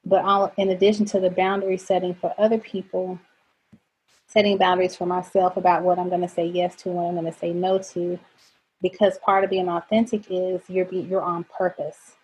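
A middle-aged woman, a coach and speaker, talking earnestly as a podcast guest about faith and boundaries, goofy and quick to laugh. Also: on the low side, a little mellow, slightly rough, low-quality recording. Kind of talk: faith-based personal talk.

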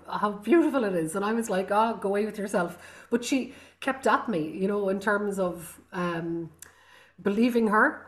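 Thoughtful Irish woman musician. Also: mid-range voice. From Ireland. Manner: thoughtful, with frequent ums and restarts. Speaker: guest artist. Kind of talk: reflective interview talk.

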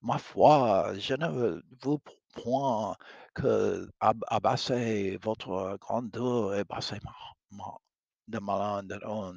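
A man reading the part of a shy young French princess, halting and stumbling through broken French and English. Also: mid-range voice, creaky, breathy edge, no room sound, full character voice.

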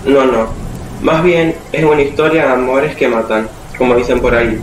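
Male amateur as narrator of a dark love tale, radio drama delivery, roomy distant recording. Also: mid-range voice, fairly even intonation, normal speed, lightly acted. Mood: storytelling. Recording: echoey, distant, noisy room recording.

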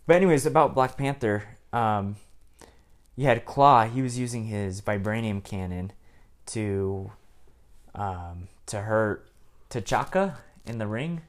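A man's voice: lowish voice, slow. A man in casual chat, retelling cartoon plots at length with many ums and restarts.

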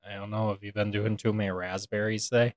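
A man's voice: on the low side, level tone, fast, no room sound. A young man co-hosting a casual chat, joking in a dry, wry way and sounding tired after a long day. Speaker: podcast co-host.

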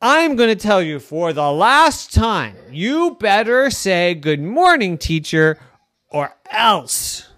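A storyteller voicing a bossy little girl who plays teacher and scolds her imaginary class in a shrill voice. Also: sing-song, measured pace, dry recording. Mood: bossy scolding.

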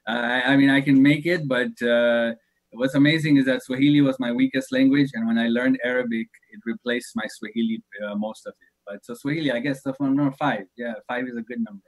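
A man's voice: medium pitch, steady tone, a little fast, poor audio. A man in his thirties, a religious teacher, answering interview questions thoughtfully, hesitating often and laughing easily. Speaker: shaykh, religious speaker.

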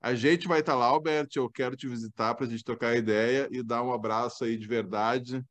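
A man's voice: medium pitch, normal speed. Enthusiastic southern podcast host man. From southern Brazil. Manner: warm and enthusiastic, animated outbursts. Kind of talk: casual chat.

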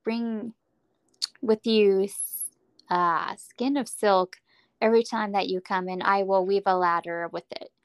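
A woman voicing a naive, gentle young maiden in a storybook fairy tale. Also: medium pitch, fairly even intonation, measured pace, smooth, no room sound, lightly acted. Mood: willing, earnest.

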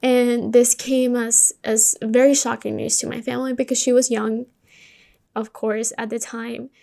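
A woman from Colombia whose first language is Spanish hosts a podcast alone. Her voice sits fairly high and stays rather even in pitch. She talks candidly and informally, as if telling her own story to a listener. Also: unhurried, creaky. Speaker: podcast host. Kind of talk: personal storytelling, conversational monologue.

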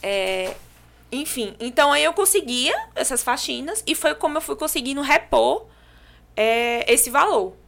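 A young Brazilian woman from João Pessoa chats on a podcast, telling her story with lively energy. Her voice is bright and fairly high, with a clear, forward ring. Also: unhurried. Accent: Brazilian Portuguese, from João Pessoa. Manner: lively, animated storytelling with restarts and laughs. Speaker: podcast guest. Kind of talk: casual podcast chat.

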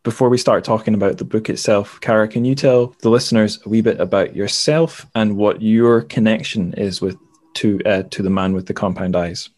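Low-voiced podcast host, male. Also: fairly even intonation, moderate pace, darkish tone. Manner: chatty, self-deprecating, wry asides. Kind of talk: casual podcast presenting.

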